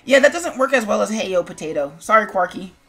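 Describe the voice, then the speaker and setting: A woman's voice: mid-range voice, expressive, quick pace, a bit bright. An American woman streaming casual, opinionated chat in a sarcastic, blunt manner. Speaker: streamer, show host.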